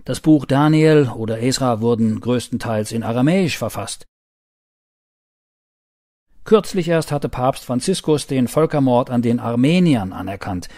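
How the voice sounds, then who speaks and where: A man reading a scripted news reportage voice-over in a measured documentary manner. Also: mid-range voice, animated, darkish tone, no echo, clean studio sound. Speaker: voice-over narrator.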